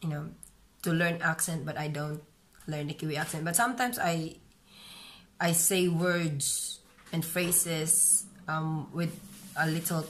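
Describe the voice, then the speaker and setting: Woman, 30s, Filipino accent, fairly low voice, relaxed home vlogger, chatty with fillers. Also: a little slow, a little hiss or hum, poor audio. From Philippines. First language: Visaya. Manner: chatty, many "you know" fillers and restarts. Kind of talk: casual vlog chat, reading comments. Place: bright room at home, indoors.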